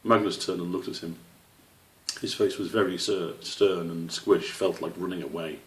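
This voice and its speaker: A man's voice: low voice, fairly even intonation, moderate pace. A man reading a children's story aloud as its narrator. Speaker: author reading own story. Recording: roomy, slightly distant microphone.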